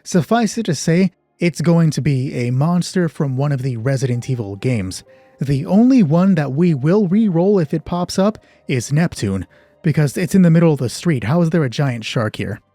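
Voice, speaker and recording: A man with a soft, dark and husky voice hosts a solo tabletop game. He thinks aloud conversationally between narration, close on a dry mic with faint music behind him. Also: a little high, expressive, normal speed, dry recording. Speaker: solo game master, channel host. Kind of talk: solo tabletop roleplay narration with casual asides.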